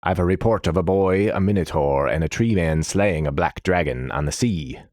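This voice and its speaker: A gruff old war general played by a male narrator from a fantasy storybook, with a low, rumbling voice. He speaks bluntly and with command, close and dry on the mic. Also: animated, normal speed, darkish tone, no room sound. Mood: brisk, commanding.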